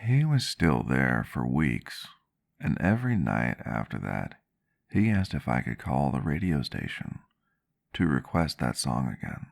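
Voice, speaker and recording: A man plays a reflective male vampire doctor in an ASMR roleplay. His voice sits very low, with a heavy fry running through it. He tells his story gently, in measured and slightly antique phrasing. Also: very animated, full character voice. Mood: reminiscing. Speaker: roleplay character telling a story.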